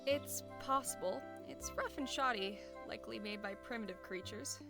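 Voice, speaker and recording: A woman's voice: on the high side, normal speed, vocal fry. A woman playing a cautious, sensible adventuring companion in an audio roleplay, careful and articulate. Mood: thoughtful. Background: music.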